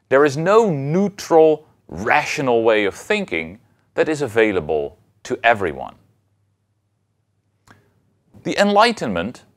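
Man lecturing with very lively intonation. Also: medium pitch. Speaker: university philosophy lecturer. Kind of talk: scripted educational lecture to camera.

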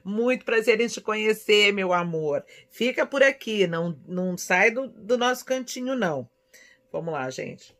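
A woman in her forties from São Paulo with a Brazilian accent, chatting casually and affectionately as she answers viewers' questions in an expressive, conversational way. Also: mid-range voice, measured pace. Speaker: vlogger. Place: small indoor room at home.

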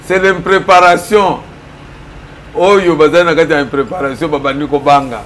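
Emphatic Congolese man, slightly high voice. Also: steady tone, normal speed, fairly bright tone, a little hiss or hum. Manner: switches between Lingala and French, emphatic and argumentative. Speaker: political commentator. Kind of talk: studio interview. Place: small indoor office room.

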